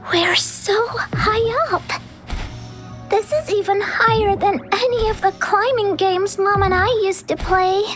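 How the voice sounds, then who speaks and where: A woman voicing a timid, wide-eyed small child in an anime game, speaking softly and hesitantly. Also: very high voice, slow pace, full character voice. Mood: nervous. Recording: game music behind.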